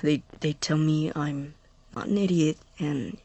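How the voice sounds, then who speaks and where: Woman acting a breathy, obsessive young science student. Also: sits low, steady tone, dark tone, no echo. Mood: hurt, defensive. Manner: halting, self-interrupting, intense. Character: obsessive, anxious young science student convinced of being right. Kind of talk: audio drama monologue.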